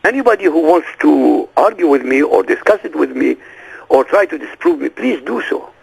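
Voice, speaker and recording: An insistent man who speaks Arabic as his first language sits in as an interview guest, pressing his points with one rhetorical question after another. His voice sits high and swings widely, rough with gravel and a husky edge. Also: lively intonation, normal speed, low-quality recording. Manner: forceful, emphatic, fires rhetorical questions. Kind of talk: radio interview.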